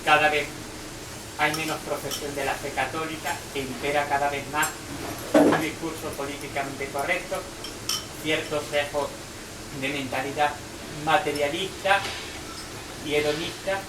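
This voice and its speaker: A man making measured, formal remarks at a dinner gathering. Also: on the high side, background noise. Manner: measured, formal, flat delivery. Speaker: dinner guest. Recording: echoey room, distant mic, clatter.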